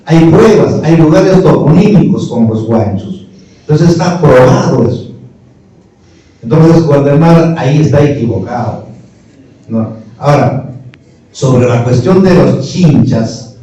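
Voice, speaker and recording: A man's voice: mid-range voice, expressive, measured pace, warm tone. Middle-aged man lecturing, rhetorical questions, recorded far off, muffled and echoey. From Peru. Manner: didactic, argues point by point with rhetorical questions. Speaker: speaker at a history panel. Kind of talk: lecturing panel talk.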